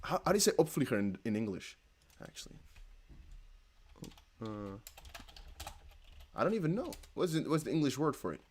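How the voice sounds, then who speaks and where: A young man with a Dutch accent hosting a casual livestream, reading chat aloud and breaking into excited whoops. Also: on the high side, normal speed, long pauses, a little hiss or hum. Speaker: crypto livestream host.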